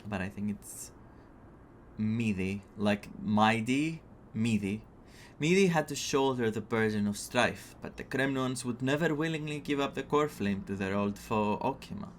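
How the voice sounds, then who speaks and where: Excitable young man with Greek accent. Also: on the low side, a little slow, a little hiss or hum. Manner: excitable, laughs often, chatty. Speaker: streamer. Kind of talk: casual gaming commentary, reading quest text aloud.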